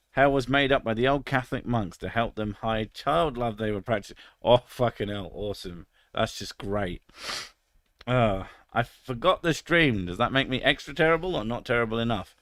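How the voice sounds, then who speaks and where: A man's voice: medium pitch, normal speed, a bit bright, dry recording, clean studio sound. A British man in his thirties chatting casually on a stream, crude and sarcastic, swearing freely. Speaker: streamer reading chat. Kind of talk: casual live stream chat.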